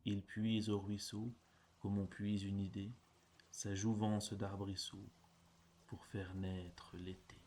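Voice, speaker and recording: A man reciting poems in a measured, deliberate way, phrase by phrase. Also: on the low side, flat delivery, darkish tone, slight background noise. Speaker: poetry reader. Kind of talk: poetry recitation.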